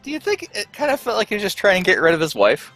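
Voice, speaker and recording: Bright-toned young man in casual chat. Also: high-pitched, huge pitch swings, fast, faint music behind. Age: young adult. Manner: joking, informal. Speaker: radio play cast member.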